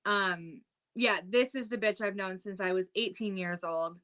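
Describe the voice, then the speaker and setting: Chatty bright-voiced woman in her thirties. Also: mid-range voice, unhurried, smooth. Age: 30s. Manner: chatty, storytelling with lots of "like" and "um". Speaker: podcast co-host. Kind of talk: casual chat.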